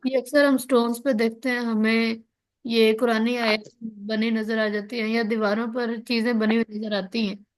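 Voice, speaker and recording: Higher-pitched Pakistani woman teaching. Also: level tone, moderate pace. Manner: checks understanding often, asks and answers own questions. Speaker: law lecturer. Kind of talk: explanatory online lesson, reading out and explaining legal text.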